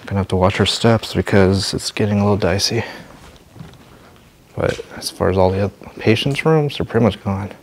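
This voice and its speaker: A man narrating a walk through an abandoned building, calmly pointing things out as he goes. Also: brisk, a little hiss or hum. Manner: low-key, observational, thinking aloud. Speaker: urban explorer host. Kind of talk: walking vlog narration.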